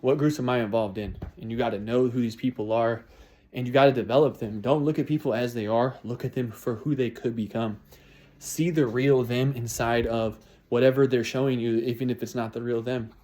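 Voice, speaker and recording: A man's voice: mid-range voice, fairly even intonation, brisk, warm tone. Twenty-something guy pumping himself up about his goals, keeps repeating himself. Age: 20s. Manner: repetitive, motivational, restarts phrases. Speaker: vlogger. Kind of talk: casual New Year's resolutions monologue.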